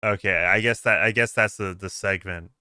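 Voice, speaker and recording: A man hosting a casual livestream, reacting aloud in a halting way, repeating words and breaking off mid-sentence. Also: sits low, fairly even intonation. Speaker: livestream host. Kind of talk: casual reaction stream commentary.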